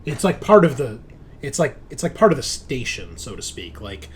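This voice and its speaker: Guy game mastering a sci-fi tabletop session, chatty descriptive narration, medium pitch, some background noise. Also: sing-song, normal speed. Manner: conversational, descriptive, self-correcting as he goes.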